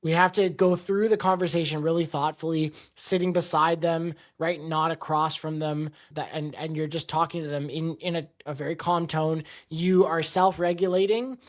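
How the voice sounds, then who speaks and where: A man's voice: on the high side, steady tone, normal speed, hardly any pauses. A man hosting a podcast, explaining ideas thoughtfully and often checking in with his co-host. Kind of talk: conversational podcast talk.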